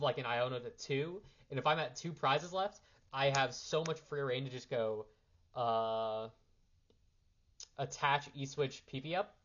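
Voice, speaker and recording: A man's voice: mid-range voice, fairly bright tone, lo-fi sound. A young man who is a little sick, casually explaining card game lines off the cuff, rambling and correcting himself as he handles cards. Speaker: card game player giving a strategy primer. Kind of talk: impromptu casual strategy explanation.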